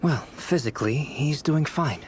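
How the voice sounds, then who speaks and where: A man playing a calm, analytical young alchemist in an anime game, speaking in a measured, explanatory way. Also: a little high, a little hiss or hum, natural voice. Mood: composed.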